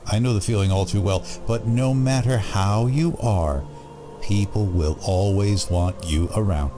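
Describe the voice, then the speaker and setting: A man's voice: lowish voice, natural voice. An actor playing a sly, deranged male asylum patient in an audio drama, theatrical, taunting and menacingly playful. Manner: theatrical, taunting, slow, giggling.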